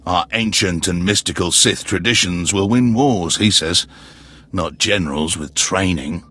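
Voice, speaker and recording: An actor playing a scornful, grumbling Imperial general in a video game, with a sardonic delivery. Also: male voice, sits low, measured pace, pushed and bright, breathy edge, heavily acted.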